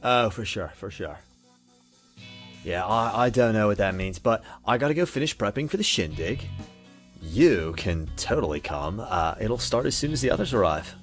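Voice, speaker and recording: Lively-pitched young slacker played by a man, dopey surfer drawl, friendly, casual, game character. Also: moderate pace, faint music behind. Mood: cheerfully oblivious. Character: laid-back, friendly young male slacker party host. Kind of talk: visual novel game voice acting.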